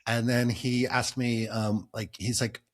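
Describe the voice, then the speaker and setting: A middle-aged man hosting a travel podcast, relaxed and self-deprecating, laughing easily as he chats. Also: medium pitch, a little slow. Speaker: podcast host. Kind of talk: casual interview conversation.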